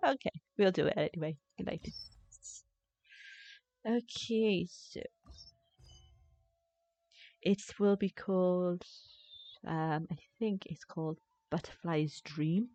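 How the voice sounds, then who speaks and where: A woman's voice: fairly low pitch, slow, long pauses, warm tone, soft background music. A woman streaming a video game with casual, rambling commentary, half talking to herself. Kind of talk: casual live gameplay commentary. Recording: dry close sound, slightly dull band.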